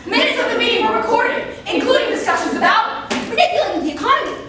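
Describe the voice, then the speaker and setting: A woman's voice: extremely high pitch, animated, fast. A woman giving an emphatic, theatrical scripted narration as a reader's theater performer. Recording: distant, very echoey room, faint noise.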